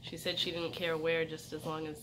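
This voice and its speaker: A young woman chatting casually in a vlog, hoarse from a cold and rambling with many fillers. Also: low-pitched, big pitch swings, a little fast. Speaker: vlogger. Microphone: phone camera. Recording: distant mic with loud noise.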